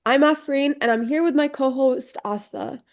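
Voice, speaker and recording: A woman's voice: high voice, moderate pace. A woman hosting a podcast, reading an upbeat introduction and asking friendly questions. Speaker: podcast host.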